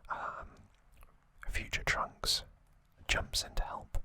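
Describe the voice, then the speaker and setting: A man hosting an ASMR video, giving a casual recap in a breathy, unvoiced whisper with a halting, intimate delivery. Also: pushed and bright.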